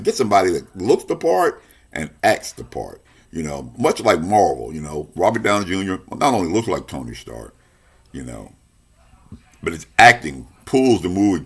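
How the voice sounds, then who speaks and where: A man's voice: low-pitched, slightly rough. A man hosting a review show, giving casual commentary straight to the audience and leaning emphatically on his key points.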